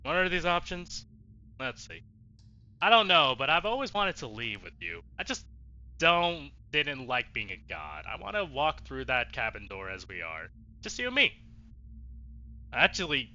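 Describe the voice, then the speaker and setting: Very bright, animated man, slightly dull recording. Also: mid-range voice. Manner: expressive, emotional, casual with swearing. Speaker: let's play streamer. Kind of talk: gameplay commentary with reading aloud of dialogue choices. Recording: dull lo-fi mic, faint noise.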